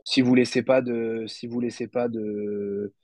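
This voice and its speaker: Un homme légèrement enrhumé qui explique l'élevage de cloportes en direct, sur un ton décontracté, avec beaucoup d'hésitations et de mots cherchés. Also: male voice, medium pitch, flat delivery, unhurried, darkish tone, no room sound. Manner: hesitant, many fillers, searches for words. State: slightly congested from a cold. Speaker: guest isopod breeder. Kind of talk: casual livestream explanation.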